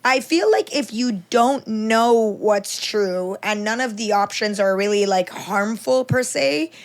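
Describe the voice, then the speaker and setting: A young adult woman hosting a podcast, talking in an earnest, reflective way, on a studio boom mic in a small room. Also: a little slow. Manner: earnest, reflective, filler-heavy. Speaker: podcast host. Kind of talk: casual conversation. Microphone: studio mic on boom arm, headphones.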